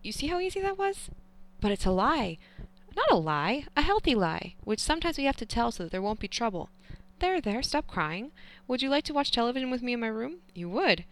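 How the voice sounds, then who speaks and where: A woman's voice: fairly high pitch, very animated, brisk, voice pushed up. A woman voicing a teasing, doting elderly grandfather in an audiobook, coaxing and playful. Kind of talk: audiobook character reading.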